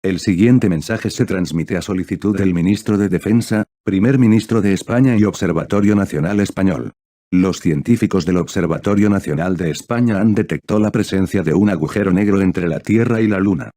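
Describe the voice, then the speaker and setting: A man's voice: deep voice, fairly quick, mellow, dry recording, studio quality. A man reading a scripted emergency broadcast announcement in a formal, impersonal way. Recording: clear background noise.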